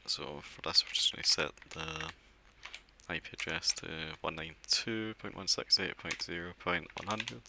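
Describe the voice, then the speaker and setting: A man giving a relaxed step-by-step software setup walkthrough, explaining as he clicks through with occasional fillers. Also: deep voice, moderate pace, gravelly, noisy background. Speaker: software tutorial presenter. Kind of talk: screen-recorded software walkthrough.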